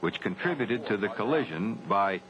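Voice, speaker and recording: A man's voice: on the low side, animated, a loud crowd. Dull-sounding 1970s film narrator, American man. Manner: formal, grave, measured delivery. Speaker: documentary narrator. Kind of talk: scripted narration of a 1970s military training film.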